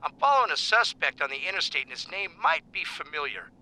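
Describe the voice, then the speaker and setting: Tough sardonic investigator, audio thriller. Hard-edged, very forceful voice, slightly high, gruffly casual. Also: male voice, very animated, quick pace, heavily acted, rough recording. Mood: brisk, irritated. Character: tough, sardonic male investigator tailing a suspect. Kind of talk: audio thriller drama acting.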